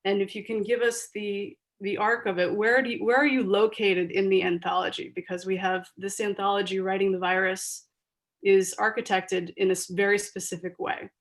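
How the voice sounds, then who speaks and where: A woman runs a literary panel over a video call and introduces the guests in an earnest, effusive way. Her pitch sits in the middle of a woman's range. She fills her pauses with ums and restarts as she goes. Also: level tone. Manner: earnest, gushing, with frequent ums. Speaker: panel moderator.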